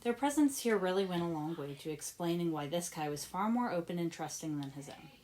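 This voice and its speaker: Low-pitched woman reading a story aloud. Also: animated, fairly quick, a little mellow. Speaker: podfic reader. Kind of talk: expressive narration of fan fiction.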